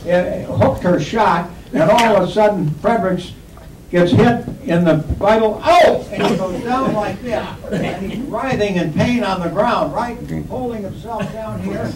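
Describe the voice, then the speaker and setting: A man's voice: high-pitched, measured pace. A man in his seventies from Western New York telling jokes at a banquet, laughing through his punchlines. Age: 70s. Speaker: retiring sports broadcaster giving a banquet speech. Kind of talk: after-dinner joke telling. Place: banquet hall. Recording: echoey hall from far off, loud crowd and noise.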